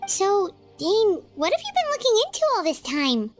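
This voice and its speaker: A woman voicing a tiny, childlike fairy girl companion in a video game, squeaky, excitable and curious. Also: normal speed, soft background music, exaggerated voice. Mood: eager, inquisitive. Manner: childlike, inquisitive, refers to self by name. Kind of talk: scripted video game voice acting.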